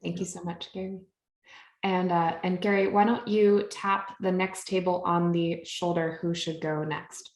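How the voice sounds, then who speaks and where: A woman's voice: fairly low pitch, flat delivery, unhurried, echoey room. A woman hosting an online workshop, guiding a round of introductions in a friendly way with fillers. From Virginia area, United States. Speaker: meetup host and facilitator. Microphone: video call.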